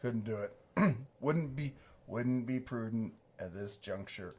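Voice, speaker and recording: A man's voice: medium pitch, animated, slow pace, a crowd in the background. A man casually chatting on a livestream, reading viewer comments in a laid-back, rambling, jokey way. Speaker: livestream co-host.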